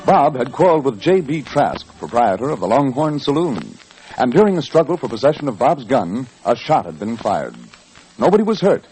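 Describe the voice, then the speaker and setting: Radio serial announcer: American man, mid-pitched, lively, dramatic scripted narration. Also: slightly rough, low-quality recording.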